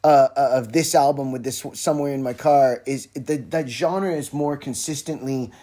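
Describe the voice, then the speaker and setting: A man talks on his own as a podcast host in a casual, rambling way. His voice sits at a middle pitch, and he often breaks off his own sentences for wry little asides. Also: normal speed, mellow. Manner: rambling, self-interrupting, wry informal asides. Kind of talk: casual solo rambling talk about music. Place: informal solo recording with a phone at hand.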